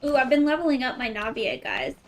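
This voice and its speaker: High-voiced woman streaming a game. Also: sing-song, a little fast. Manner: reactive, playful asides. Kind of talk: casual live gameplay commentary.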